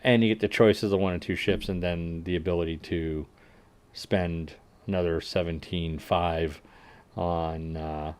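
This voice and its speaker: A man hosting a livestream, casually reading game update notes aloud and adding wry asides that trail off quietly. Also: deep voice, steady tone, measured pace. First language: English. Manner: frequent fillers and restarts while working out numbers. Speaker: livestream host.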